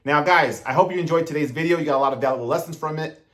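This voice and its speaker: A man's voice: a little high, rapid-fire. A man in his thirties giving motivational self-improvement advice straight to his viewers, earnest and direct in a coaching tone. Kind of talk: motivational advice monologue to camera.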